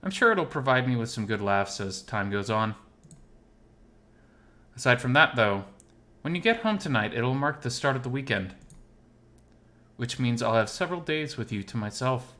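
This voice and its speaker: A man voicing a lovesick, gently self-mocking male animal character in first-person visual novel narration, intimate and wistful. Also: medium pitch, expressive, moderate pace, pauses often. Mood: tender, wistful.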